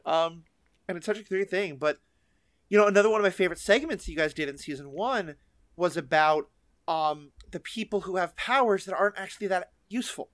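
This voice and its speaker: A man with a high-pitched voice hosts a podcast interview. He talks in a chatty, enthusiastic way, conversational and informal. The sound is dry, with very little room echo. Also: a little fast, a bit bright. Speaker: podcast host. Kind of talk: conversational interview about fiction.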